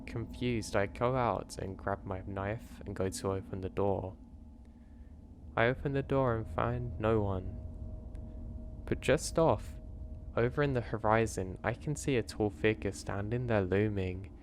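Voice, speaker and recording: A man with a lowish voice, his throat slightly strained, reads first-person horror stories in a calm, measured way. He speaks as a steady host over a faint bed of background noise. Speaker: horror story narrator. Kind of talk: scripted first-person story narration.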